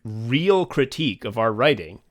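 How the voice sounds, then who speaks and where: A man in casual podcast chat, rambling and restarting his sentences as he goes. He swears freely and leans on filler words. His voice sits at an ordinary middle pitch for a man. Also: very animated, unhurried. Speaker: podcast co-host and writer.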